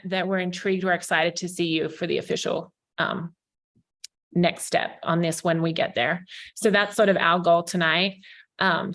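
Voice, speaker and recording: An Australian woman in her forties pitches a development plan to a town council over a video call. Her voice sits a little low for a woman, and her delivery is upbeat and selling, loosely paced with frequent ums. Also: a bit bright. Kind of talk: informal presentation.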